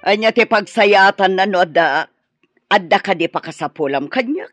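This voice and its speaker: A woman's voice: medium pitch, animated, normal speed, dry recording, faint music behind, lightly acted. Woman acting a sarcastic, combative Ilocano mother, dry sound. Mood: mocking. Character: combative, sarcastic parent bickering with an in-law. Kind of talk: Ilocano radio drama acting.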